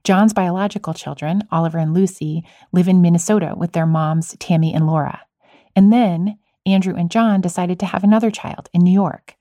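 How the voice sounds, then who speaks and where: A woman's voice: on the low side, normal speed, warm tone, dry recording. A woman hosting a podcast, moving between storytelling narration and conversational interviewing.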